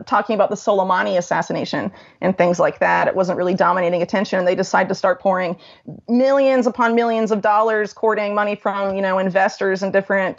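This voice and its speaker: A woman's voice: mid-range voice, creaky, dull audio. A woman being interviewed as a researcher, explaining her findings informally and at length.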